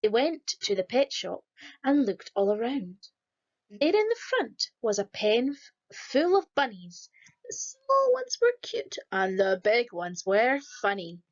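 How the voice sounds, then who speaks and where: A woman reading a rhyming children's picture book aloud in a lively, sing-song storytelling manner. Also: on the high side, measured pace, lo-fi sound. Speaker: storybook reader.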